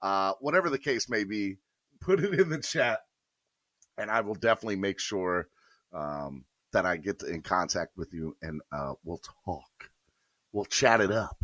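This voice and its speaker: A man hosting a morning sports podcast, slightly hoarse, talking upbeat and straight to his viewers. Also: low-pitched, animated, measured pace, a bit bright, dry recording, studio quality. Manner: upbeat, addresses viewers directly. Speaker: podcast host. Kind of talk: morning sports show intro.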